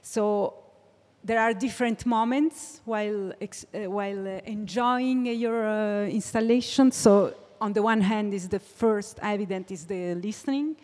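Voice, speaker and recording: A woman's voice: mid-range voice, measured pace, darkish tone. A woman moderating a panel, asking questions hesitantly with many fillers. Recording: long hall reverb.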